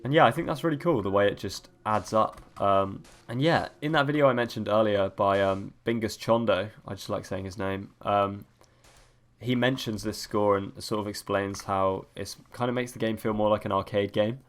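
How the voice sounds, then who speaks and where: A young man with a cold, sniffly and croaky, rambling through casual gameplay commentary as a let's-play host. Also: on the low side, moderate pace. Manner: rambling, many ums and restarts.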